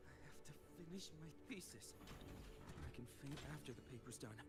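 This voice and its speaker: A man's voice: mid-range voice, expressive, brisk, lightly acted. An actor playing an exhausted, feverish young male student who is stubbornly pushing on. He sounds weary, worn down and determined. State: feverish and faint. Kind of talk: game voice acting. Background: game music.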